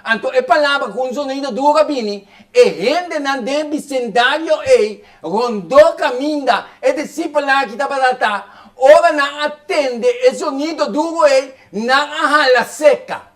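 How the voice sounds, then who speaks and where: A man with a notably high voice preaching with fervor. His sermon runs quick and insistent, circling back to the same phrases over and over. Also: fairly bright tone. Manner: fervent, rapid preaching with repeated phrases.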